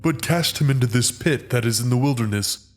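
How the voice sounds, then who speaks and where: A man playing a grave adult Hebrew herdsman in a dramatized scripture reading, declaiming archaic lines deliberately. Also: mid-range voice, faint music behind, lightly acted. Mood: firm pleading.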